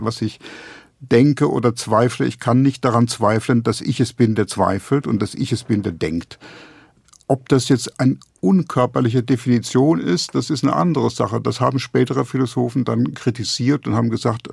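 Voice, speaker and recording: A man's voice: medium pitch, creaky, a little mellow, breathy edge, no echo, studio quality. A man speaking as a novelist in an interview, explaining ideas reflectively in long, winding, intellectual sentences. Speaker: novelist guest discussing own book.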